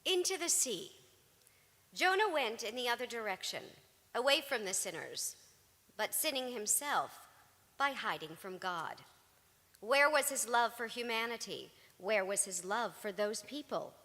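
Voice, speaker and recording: A woman's voice: fairly high pitch, lively intonation, a little slow, pushed and bright. A woman performing dramatic spoken word, with emphatic, rhetorical delivery. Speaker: reader in a staged ensemble performance. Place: large synagogue hall, live performance. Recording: reverberant hall.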